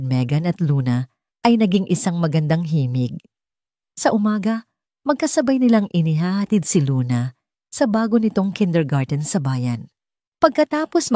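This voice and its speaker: Low-pitched woman narrator with lively intonation. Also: no room sound, studio quality. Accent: Filipino. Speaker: audiobook narrator. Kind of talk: scripted romance story narration.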